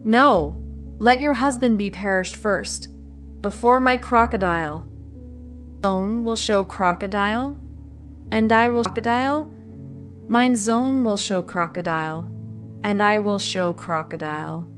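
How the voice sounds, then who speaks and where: A woman voicing an angry foreign male crocodile showman who yells in broken speech. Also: medium pitch, a little slow, soft background music, natural voice. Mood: furious.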